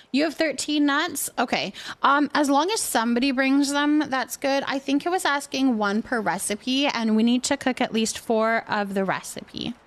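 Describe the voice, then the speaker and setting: A woman hosting a game livestream, chatting casually with viewers in a giggly, talkative manner. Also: high voice. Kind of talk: casual chat with viewers while playing a cooking game.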